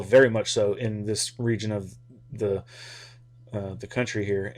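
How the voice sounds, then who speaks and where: A man's voice: fairly low pitch, a little mellow. An American man giving a casual, rambling explainer in an informal, hesitant way, as if thinking aloud. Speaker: hobby radio video host.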